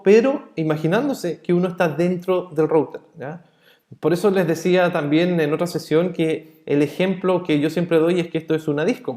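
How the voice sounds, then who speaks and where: Male networking instructor, fairly high voice, chatty, frequent check-in questions, everyday analogies, echoey room. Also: warm tone. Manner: illustrates points with everyday analogies. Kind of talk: explanatory online lesson. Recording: roomy echo.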